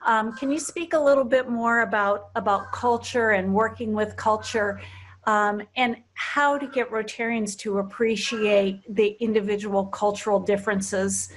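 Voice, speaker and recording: A woman's voice: mid-range voice, steady tone, unhurried, rough recording. A woman moderating a panel discussion, asking panelists questions and often restarting her phrases.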